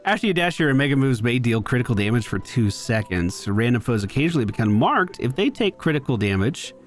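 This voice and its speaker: A man hosting a gaming video, chatting and thinking aloud analytically as he plays. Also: mid-range voice. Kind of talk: gameplay commentary. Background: faint game music.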